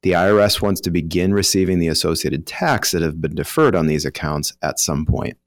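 A man's voice: sits low, expressive, fairly quick, dry recording, studio quality. A man with an American accent, a financial professional explaining rules in an informal, conversational way as a guest expert. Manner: explanatory, informal, voices hypothetical first-person examples.

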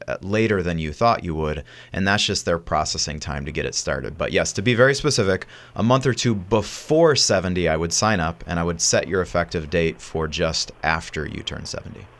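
A man's voice: sits low, normal speed, hardly any pauses, fairly bright tone. A man co-hosting a call-in radio show, patiently and confidently explaining an answer to a caller. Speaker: radio show co-host, financial adviser.

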